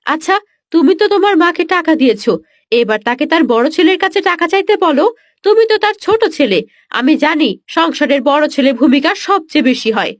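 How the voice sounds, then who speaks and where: A woman playing a stingy young daughter-in-law in a cartoon story, speaking rapidly and insistently. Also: sits high, lively intonation, no room sound, studio quality. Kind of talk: cartoon moral story dialogue. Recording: music under the voice.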